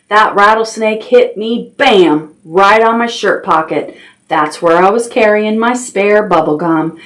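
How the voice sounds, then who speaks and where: A woman hosting a children's storytime, reading aloud expressively and talking simply and directly to young listeners. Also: mid-range voice. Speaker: library storytime host. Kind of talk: children's storytime with action songs and read-aloud.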